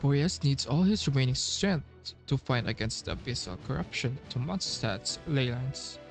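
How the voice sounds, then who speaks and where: A man's voice: mid-range voice, animated. Casual male game streamer, musing over music. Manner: halting, musing, echoes the game's dialogue. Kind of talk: casual gameplay commentary.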